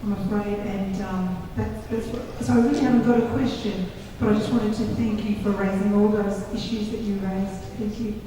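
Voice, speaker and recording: Croaky woman principal in an echoey hall. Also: medium pitch, steady tone, normal speed, few pauses, mellow, slight background noise. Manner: passionate, earnest. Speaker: school principal. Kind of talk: audience comment. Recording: echoey hall, distant mic.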